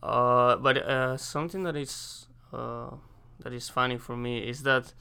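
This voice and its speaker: A young man from Spain with a Spanish accent, an exchange student answering interview questions casually and haltingly, pausing to search for English words. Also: medium pitch, fairly even intonation, vocal fry, pushed and bright, clear, resonant tone. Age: young adult.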